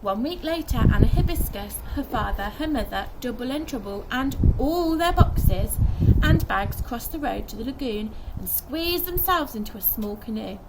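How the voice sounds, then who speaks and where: A woman's voice: on the high side, normal speed. A young woman reading a children's story aloud expressively, acting out the dialogue like a primary school teacher. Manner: animated, acts out dialogue. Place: outdoors in a garden by a wooden fence. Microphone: camera a short distance away. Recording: noisy outdoor recording with clicks.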